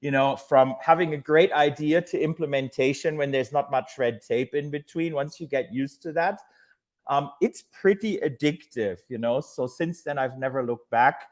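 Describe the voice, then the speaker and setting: A man's voice: mid-range voice, moderate pace. German-accented man telling his story. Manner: loose, conversational storytelling with fillers. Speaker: guest, hotel executive. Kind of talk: casual podcast interview.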